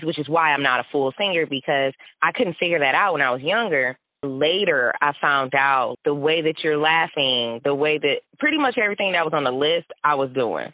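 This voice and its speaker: A young woman tells stories in a relaxed, chatty way and laughs often as she goes. The sound is dry and narrow, with the boxy, thin quality of a phone line. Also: deep voice, dry recording. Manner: laughs often, animated storytelling. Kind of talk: casual chat.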